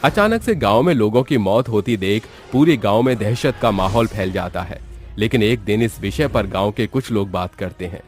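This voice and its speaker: A male narrator reads a horror cartoon tale. His voice is on the lower side and the delivery is scripted storytelling. Music plays softly behind him. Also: expressive, moderate pace. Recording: background music under the voice.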